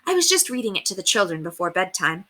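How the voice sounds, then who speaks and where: Woman playing a bright, courteous young governess. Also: mid-range voice, very animated, quick pace. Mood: polite, apologetic. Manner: courteous, composed, well-spoken. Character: polite, cheerful young governess. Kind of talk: children's storybook read aloud.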